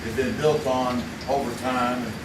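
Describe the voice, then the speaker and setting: A man's voice: mid-range voice, steady tone, normal speed, natural voice. An actor in a live radio play, playing a middle-aged man who chats easily and swaps childhood stories. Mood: easygoing. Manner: easygoing, chatty, reacts a lot. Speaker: campfire friend in a radio play. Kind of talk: live old-time radio play acting. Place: live theater stage. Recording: echoey live theater from far off with loud room noise.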